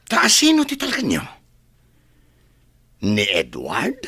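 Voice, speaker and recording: Guy playing bitter scheming son in radio drama, raspy, slow drawl, swings all over the place. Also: medium pitch, wildly swooping pitch, sharp tone, dry recording, heavily acted. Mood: resentful, demanding. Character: resentful, scheming adopted adult son, brazen and menacing. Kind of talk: Ilocano radio drama acting.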